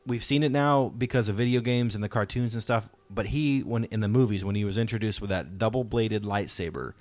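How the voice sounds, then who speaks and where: A man hosting a podcast discussion, talking casually and enthusiastically in informal, slangy language. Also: fairly low pitch. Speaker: podcast host. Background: music playing faintly.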